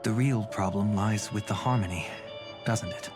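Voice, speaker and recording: Low-voiced, composed, protective older brother actor. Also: male voice, natural voice. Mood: apologetic concern. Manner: measured, formal, serious. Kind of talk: video game voice acting. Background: game music under the voice.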